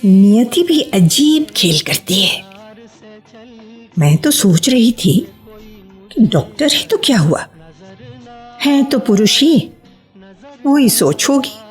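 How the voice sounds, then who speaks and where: An actress playing a sensible homemaking woman who affectionately scolds her friend in a measured, expressive radio-play style. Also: on the high side. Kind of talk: Hindi radio play acting.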